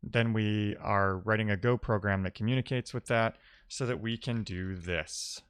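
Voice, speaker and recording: Low, clean-voiced man thinking aloud. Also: steady tone, moderate pace, a bit bright, clear, resonant tone. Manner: informal, reading and answering chat messages aloud. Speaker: live-streaming programmer, host. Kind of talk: casual live-coding stream chat, thinking aloud.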